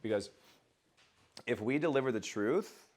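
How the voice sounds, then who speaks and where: A man's voice: medium pitch, animated, measured pace, a little mellow. A man giving a blunt, motivational talk to a small group and answering questions, swearing freely. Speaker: speaker and coach. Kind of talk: motivational speech and Q&A.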